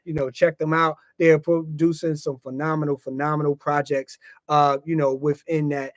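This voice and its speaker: An American man with a slightly high voice hosts a casual podcast. He speaks informally, circling back over his phrases and dropping in "you know" often. The sound is dry and close. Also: little pitch movement, normal speed, no echo. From United States. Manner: informal, frequently repeats himself and tags phrases with "you know". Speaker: podcast host.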